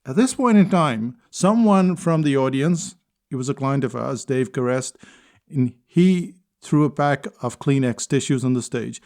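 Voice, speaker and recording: Male speaker, mid-pitched, measured storytelling delivery, reflective spoken-essay tone. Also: animated, a little mellow. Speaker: speaker and consultant.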